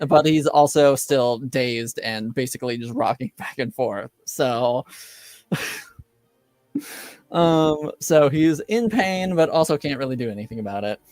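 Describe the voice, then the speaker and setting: Brief: male game master, unhurried delivery, dry close mic, casual and loose. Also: medium pitch, expressive, darkish tone, no echo, faint music behind. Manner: laid-back, lots of fillers and laughs, swears casually. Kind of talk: running a tabletop game, casual chat.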